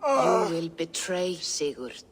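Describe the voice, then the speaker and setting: A woman's voice: fairly low pitch, sing-song, takes it slowly, slight background noise. A woman playing a solemn, ominous seer delivering prophecy in a dramatic video game.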